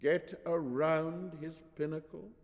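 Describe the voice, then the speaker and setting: A man voicing an indignant, suspicious mother in a storybook, sharp and questioning. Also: on the high side, steady tone, takes it slowly, long reverb tail, voice pushed up.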